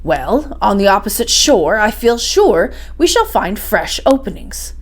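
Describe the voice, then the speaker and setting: A woman's voice: medium pitch, big pitch swings, measured pace. Woman reading as a confident, lecturing older male professor. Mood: resolute. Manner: didactic, assured, declarative.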